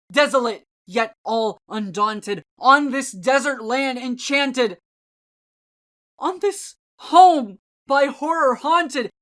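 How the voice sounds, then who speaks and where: A woman acts out a haunted, grief-maddened gothic poetry narrator. The voice is high and declaims with theatrical passion, drawing words out at a slow, tormented pace. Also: a bit bright. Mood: frantic pleading. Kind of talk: gothic poetry dramatic reading.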